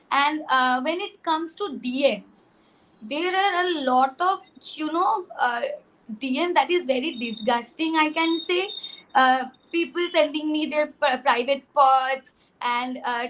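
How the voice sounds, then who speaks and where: A young woman with a husky voice, pitched quite high. She speaks hesitantly, with many "uh" pauses, as a guest on an online panel over a video call. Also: fairly bright tone, poor audio. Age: young adult. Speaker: content creator guest.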